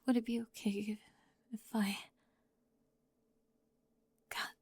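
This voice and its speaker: A woman playing a talkative, bossy high school girl who gets easily flustered, in an ASMR roleplay with halting speech and breathy drops toward a whisper. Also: little pitch movement, a little mellow, dry recording. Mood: tender, flustered.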